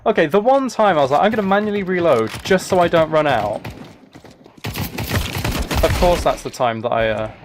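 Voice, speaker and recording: A man's voice: fairly high pitch, animated, normal speed, pauses often, noisy background. A man giving casual, chatty, self-deprecating commentary while he plays a game on a live stream. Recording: game gunfire and clicks behind voice. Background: video game gunfire, clicking.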